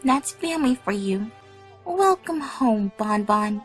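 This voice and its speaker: Affectionate, gushing young cartoon mare played by a woman, high and animated, for fan audio drama. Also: slow pace, soft background music. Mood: warm, delighted. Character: excitable, affectionate young female cartoon pony.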